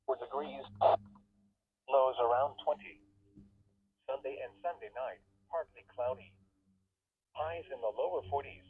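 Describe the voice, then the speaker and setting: A man's voice: medium pitch, measured pace, pauses often, husky, no room sound. A man reading a scripted weather forecast as a radio announcer, heard through a small radio speaker. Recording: tinny, narrow-band radio playback.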